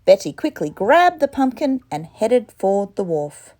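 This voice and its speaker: A woman narrates a children's storybook in a highly expressive way. Her pitch sits in the middle for a woman and moves around a great deal as she reads. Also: measured pace. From Torres Strait, Australia. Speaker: storybook reader.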